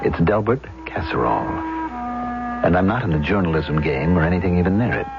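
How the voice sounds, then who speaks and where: A man's voice: low voice, animated, a little fast. An actor playing a pompous, talkative middle-aged man in a 1970s radio drama, expansive and insistent. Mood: jovial, boastful. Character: pompous, garrulous middle-aged man who plays invented roles. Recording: dull vintage radio, loud music behind.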